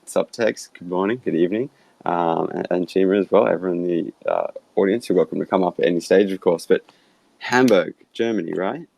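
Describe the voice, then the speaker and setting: A man's voice: low voice, a little fast, vocal fry, darkish tone. An Australian man from Sydney hosting an online audio room, chatty and easygoing, laughing easily. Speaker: host. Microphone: online voice call.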